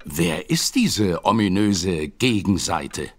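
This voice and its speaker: An actor playing a scheming aristocratic villain, smooth and polite to guests but scornful and commanding behind their backs. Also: male voice, low-pitched, animated, slow, full character voice. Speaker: Sir John. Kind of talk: radio play acting.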